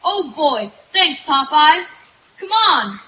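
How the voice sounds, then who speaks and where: An eager young boy sidekick played by an adult actor in an old-time cartoon radio show. The voice is high and clear-edged, full of pluck. Light noise sits behind the outdoor recording. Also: very animated, slow pace, pushed and bright, full character voice, rough recording. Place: outdoor stage in bamboo woods. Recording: live outdoor stage, background noise.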